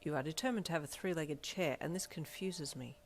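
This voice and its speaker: An earnest, devoted young man in an audio story , acted by a woman, speaking in a low voice. His manner is formal, precise and polite, over soft music. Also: brisk. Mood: flustered, earnest.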